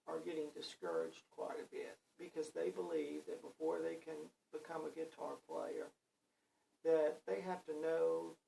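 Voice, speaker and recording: Brief: older male guitar teacher, very gravelly, folksy and rambling, a little off-mic with faint noise. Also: on the high side, darkish tone. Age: 60s. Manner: rambling, folksy, with many fillers. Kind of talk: casual spoken guitar lesson. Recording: voice a bit far from the mic, faint background noise.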